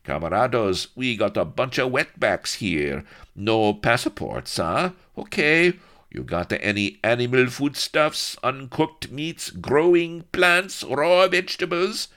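A man's voice: mid-range voice, animated, slow pace, fairly bright tone, pitched above the performer's own voice. A man voicing a sneering, bullying Spanish corporal in broken English with a Spanish accent, for a comic story. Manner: broken English, roaring and scornful.

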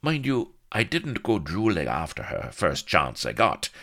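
A man's voice: sits low, huge pitch swings, measured pace, gravelly, sharp tone, voice pushed up, heavily acted. A man voicing a sly rogue who feigns meek, wounded innocence with theatrical, mock-humble delivery in a comic storybook. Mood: wounded, martyred.

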